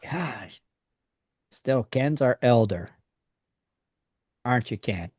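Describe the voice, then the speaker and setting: Host, man, sixties, chatty and jokey; unhurried, dry close mic. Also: medium pitch, animated, a little mellow, husky, dry recording. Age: 60s. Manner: jokey, laughs often. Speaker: radio show host. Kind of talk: casual live chat.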